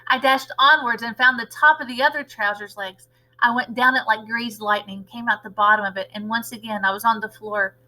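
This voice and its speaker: A woman reads a children's story aloud in a fairly high, bright, forceful voice. She narrates like a teacher, expressive and dramatic, stressing the action words. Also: fairly quick, low-quality recording.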